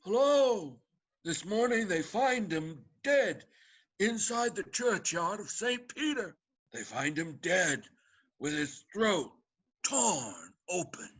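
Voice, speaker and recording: Raspy, animated sea captain, played by a man. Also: high voice, slow pace, exaggerated voice. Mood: alarmed. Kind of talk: radio play acting.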